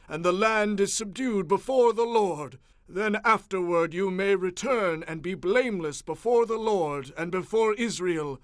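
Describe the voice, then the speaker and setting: A stern biblical leader, speaks in a high-pitched voice for a man, close and dry. He delivers solemn, forceful oratory in measured phrases, as one commanding his people in an audio Bible drama. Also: no room sound.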